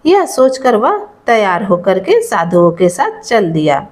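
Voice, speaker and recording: A woman's voice: mid-range voice, big pitch swings, a little slow, a little mellow. A woman narrating a scripted folk tale as a storyteller.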